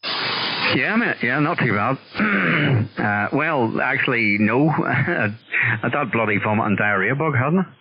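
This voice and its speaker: A man's voice: mid-range voice, animated, takes it slowly. A man chatting casually and colloquially over a radio link. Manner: colloquial, matey. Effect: radio transmission. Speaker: radio operator. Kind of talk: casual chat. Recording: dull, crackly CB radio signal.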